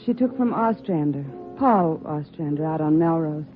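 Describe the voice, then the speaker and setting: A woman's voice: low voice, animated, moderate pace. Guarded saleswoman on tinny radio. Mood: wistful. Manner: plain-spoken, guarded. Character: hesitant, reluctant piano saleswoman, friend of victim. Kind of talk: 1950s radio drama acting. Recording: tinny, band-limited old radio with music behind. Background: piano music.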